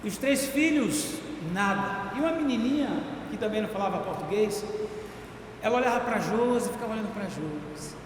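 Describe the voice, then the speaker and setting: A Brazilian man, a guest preacher, greeting the church and telling a personal story in a lively, storytelling way. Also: high voice, brisk. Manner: warm storytelling, gets loud and excited at punchlines. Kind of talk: sermon opening with personal story. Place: large church hall. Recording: echoey church hall with long reverb, noisy.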